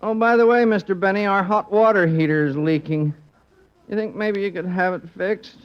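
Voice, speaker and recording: A man's voice: high-pitched, normal speed, smooth, natural voice. An actor playing a meek, apologetic male tenant in a 1950s radio comedy. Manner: timid, apologetic. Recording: dull old radio recording.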